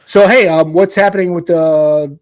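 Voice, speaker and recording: A man in his late forties, a podcast host chatting casually in a rambling, animated way and calling his co-host "dude.". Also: a little high, normal speed, no echo. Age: 40s. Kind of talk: casual chat.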